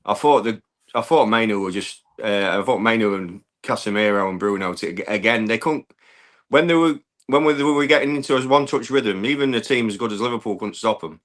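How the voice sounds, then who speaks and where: Hoarse, low-voiced man. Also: fairly quick, a bit bright. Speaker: fan caller on a football call-in show. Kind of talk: casual chat.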